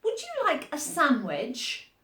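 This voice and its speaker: A woman's voice: sits high, wildly swooping pitch, slow pace, sharp tone, echoey room, voice pushed up, exaggerated voice. A woman voicing a polite, hospitable mother in a children's storybook, speaking in a considerate, inviting manner. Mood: welcoming. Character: polite, hospitable storybook mummy of a little girl.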